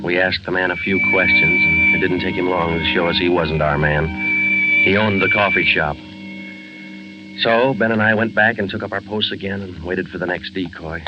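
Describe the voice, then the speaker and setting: An actor playing a terse, matter-of-fact police sergeant who narrates the case in a 1940s radio drama. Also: male voice, sits low, moderate pace, lightly acted. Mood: curt. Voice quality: clipped, flat, matter-of-fact delivery. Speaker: lead detective and narrator. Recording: muffled old radio broadcast with music.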